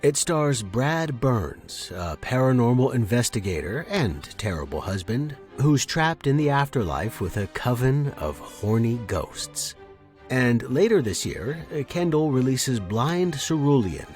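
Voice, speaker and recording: A man's voice: medium pitch, very animated, unhurried, darkish tone, soft background music. A man reading scripted announcements and introductions as the host of a fiction podcast. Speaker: podcast host and story reader.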